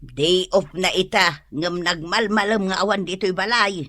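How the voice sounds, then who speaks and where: A woman acting a fussy, grumbling mother in a comedy radio drama, complaining with drawn-out phrases. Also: lowish voice, steady tone, sharp tone.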